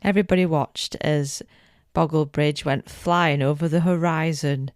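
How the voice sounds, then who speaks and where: A woman's voice: deep voice, steady tone, unhurried, a little mellow, no room sound, studio quality. A middle-aged woman narrating a scripted bedtime story as a podcast co-host.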